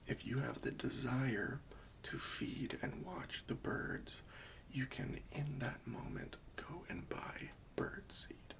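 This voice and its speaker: A man playing a gentle, patient helper in a softly spoken ASMR roleplay, coaxing and reassuring as he asks questions. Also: medium pitch, lively intonation, takes it slowly, gravelly, full character voice. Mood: gently coaxing. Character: gentle, patient, friendly male helper for hoarders.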